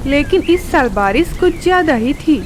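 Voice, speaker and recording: High-pitched woman narrating a children's story. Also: animated, normal speed, slight background noise.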